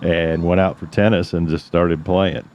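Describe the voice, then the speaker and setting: Male podcast co-host, deep and warm, easygoing, loose chat, faint background hiss. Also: flat delivery, a little slow. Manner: easygoing, chuckling, trails off mid-thought. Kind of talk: casual chat.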